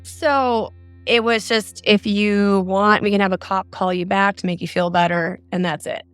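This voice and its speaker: A woman's voice: medium pitch, steady tone, normal speed, smooth, dry recording, soft background music. A middle-aged woman telling her own story as an interview guest. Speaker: interview guest, mother recounting a scam. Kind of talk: recounting a personal experience in an interview.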